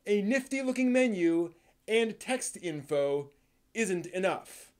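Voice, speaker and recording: A man with a voice a little higher than most hosts a live stream. His delivery is casual and rambling, that of someone presenting informally and sometimes reading aloud. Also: expressive, slow. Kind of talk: casual live stream talk about anime with theatrical read-aloud segments.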